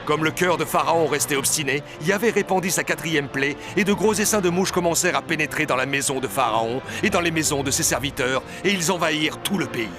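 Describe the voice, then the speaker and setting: Storybook narrator, male, slightly high pitch, scripted delivery over clear background music. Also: a little fast, few pauses. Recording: music under the voice.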